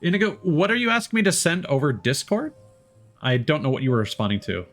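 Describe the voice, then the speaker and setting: A man's voice: a little high, very animated, fast talker, fairly bright tone, soft background music. A man livestreaming a video game, thinking aloud in a casual, rambling way and swearing now and then. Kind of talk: casual gaming stream chat.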